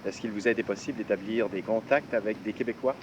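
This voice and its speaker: A man with a low voice asks formal, polite interview questions at a quick clip. He sits a little off the mic, and the sound is dull with a faint hiss, a 1960s radio archive. Outside noise is faint behind him. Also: recorded from a distance.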